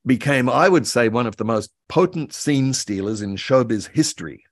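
An older man around seventy, reminiscing in an interview in a halting way, repeating words as he thinks. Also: medium pitch, lively intonation, no room sound, studio quality. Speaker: guest expert, author and voice actor. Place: indoor room by a window, video call.

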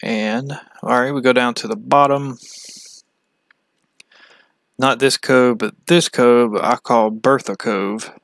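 A man from the United States giving a casual, halting, matter-of-fact walkthrough as a fishing channel host. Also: mid-range voice, fairly even intonation, vocal fry, fairly bright tone, dry recording. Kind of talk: casual screen-share map walkthrough.

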